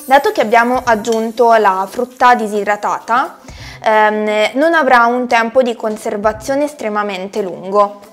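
A young woman presenting a recipe tutorial to camera from her home kitchen, chatty and explanatory, pausing often on fillers. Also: on the high side, soft background music. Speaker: cooking channel host.

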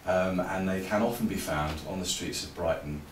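A middle-aged host with a very deep, low voice introduces the act in wry, formal phrasing. He is caught by a far camera in a small, echoey room, with a faint hum of ambience behind him. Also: normal speed. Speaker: event host. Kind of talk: stage introduction. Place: small room at a live storytelling night.

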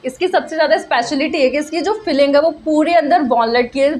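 A young woman with a high, bright speaking voice hosts a casual food review, slowing down as she savours each dish. She talks to a phone held at arm's length inside a small cafe, with faint background noise. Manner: chatty, mixes Hindi and English, slow drawn-out tasting remarks. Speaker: food vlogger. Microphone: handheld phone camera at arm's length.

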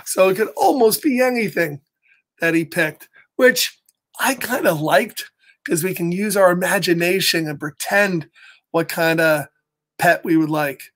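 Animated American man reading to children. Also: high-pitched, measured pace. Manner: animated, exaggerated delivery for young children. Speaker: story time host reading to children. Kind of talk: reading a picture book aloud with chatty asides. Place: small home office, indoors.

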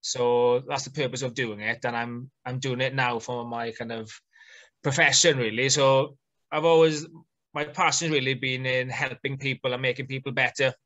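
Welsh male coach, 30s, bright tone, relaxed chatty guest on a webcam call. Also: medium pitch, moderate pace, lo-fi sound. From Wales. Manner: relaxed, chatty, many ums and fillers. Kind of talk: casual video-call interview. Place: small home room indoors. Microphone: video call webcam.

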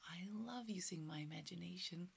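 A middle-aged woman teaching young children music, talking in an animated sing-song and dropping into hushed whispers, recorded at a webcam in a cozy room. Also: fairly low pitch, fairly quick, creaky, slightly rough. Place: cozy room full of toys and instruments.

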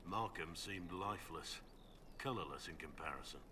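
A man voicing a brooding, guilt-ridden bearded warrior in a video game, speaking low and gravelly. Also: moderate pace, noisy background, lightly acted.

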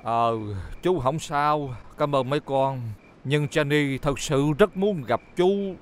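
A man's voice: fairly high pitch, slow pace, slight background noise. A man voicing a kindly, fretful elderly grandfather in a children's cartoon dub, sentimental and doting. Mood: touched. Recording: loud music behind.

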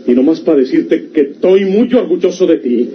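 An actor playing a rough, passionate outlaw lover in a Mexican radionovela, with a rural northern Mexican accent and an emphatic, insistent delivery. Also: male voice, fairly high pitch, lively intonation, normal speed, close to an everyday voice. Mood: ardent, tender. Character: rough, impassioned outlaw man, possessive and tender lover. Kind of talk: golden-age Mexican radionovela acting. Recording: muffled vintage radio recording with hiss.

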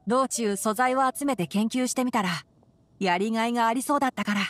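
A woman voicing a clever, frank young male forest botanist in an anime video game, brisk and matter-of-fact. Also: a little high, a little hiss or hum, close to an everyday voice.

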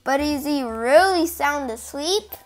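A child reading a picture book aloud in an expressive storytelling style. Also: high voice, slow pace, faint music behind.

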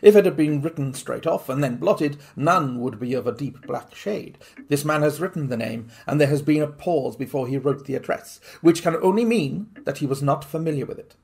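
A man voicing a sharp, analytical Victorian detective who speaks in a crisp, deliberate way. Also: on the high side, lively intonation, full character voice. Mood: explaining. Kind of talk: audiobook reading.

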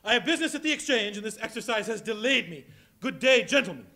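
An actor playing a bitter old miser in a live stage reading, speaking in a husky, clipped, scornful way in a large hall. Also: male voice, high voice, lively intonation, quick pace, pushed and bright. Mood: cold.

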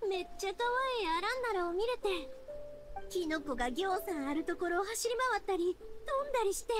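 An actress voicing an exuberant, warm-hearted young woman in an anime game, effusive and expressive, with a Kansai accent. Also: extremely high pitch, fairly quick, over music. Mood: delighted. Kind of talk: Japanese anime game voice acting.

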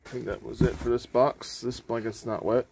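A mid-pitched man thinks aloud as he sorts through boxes in a cargo trailer. His talk is offhand and full of small fillers. It is captured on a body-mounted camera with clear background noise. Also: level tone, moderate pace, darkish tone. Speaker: storage-unit reseller. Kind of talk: casual narration while unpacking. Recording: noisy camera audio.

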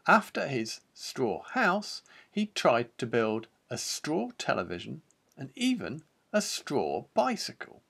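A man's voice: medium pitch, husky. A man in his fifties with an English accent reads a picture book aloud theatrically, speaking directly to the listener. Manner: theatrical, expressive, addressing the listener. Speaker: children's author and storyteller.

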